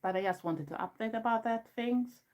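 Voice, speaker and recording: A woman's voice: fairly high pitch, normal speed, a little mellow. A woman in her fifties with a Swedish accent, a native Swedish speaker, chatting casually and frankly with viewers on a livestream in plain, earnest second-language English. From Sweden. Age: 50s. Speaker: goth YouTuber hosting a livestream. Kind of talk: casual live chat answering viewers.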